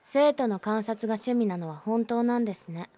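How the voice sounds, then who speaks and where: A woman's voice: a little high, lively intonation, moderate pace, mellow, natural voice. A woman playing a blunt, prickly teenage schoolgirl in a voice drama, who speaks curtly and sarcastically. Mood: cold. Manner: curt, sarcastic, cold. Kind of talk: voice drama acting.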